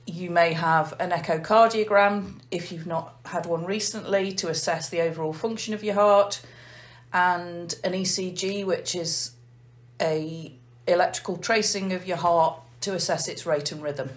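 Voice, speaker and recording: An English woman, a nurse manager, calmly explaining a heart failure clinic in an interview. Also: on the low side. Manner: measured, methodical explaining.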